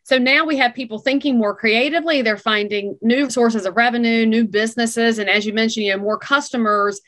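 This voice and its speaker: A woman hosting a podcast, her voice sitting a little high. She talks in an animated, opinionated way as she interviews a guest. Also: a little fast. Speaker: podcast host.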